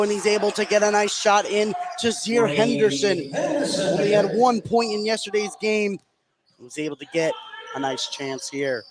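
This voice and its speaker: A man giving live radio play-by-play of a basketball game from a noisy gym. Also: high-pitched.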